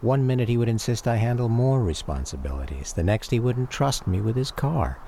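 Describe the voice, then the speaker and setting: An actor playing a grown son who gravely and reflectively narrates his hounded father's story in a radio drama, with an American accent. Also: mid-range voice, expressive, a little fast, mellow, a little hiss or hum. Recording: radio drama with music behind.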